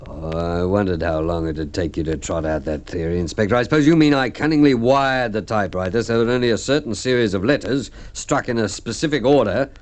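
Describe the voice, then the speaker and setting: A man's voice: fairly low pitch, fairly quick, hardly any pauses, lightly acted. A man playing a smug, suave company director with a glib, self-assured manner in a mid-century radio drama. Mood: sardonic, challenging.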